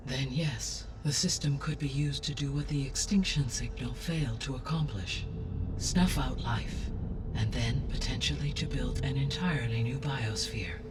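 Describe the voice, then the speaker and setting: A man voicing a calm, analytical artificial intelligence in a video game, speaking with precise, formal, unemotional delivery. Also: mid-range voice, moderate pace, recorded from a distance, noisy background, natural voice. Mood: sober, reasoning. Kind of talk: scripted video game dialogue.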